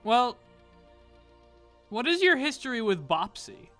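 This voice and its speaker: A man's voice: very high voice, very animated, slow, fairly bright tone, soft background music. A teenage young man hosting and narrating a scripted audio drama with comic banter. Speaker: narrator and creator.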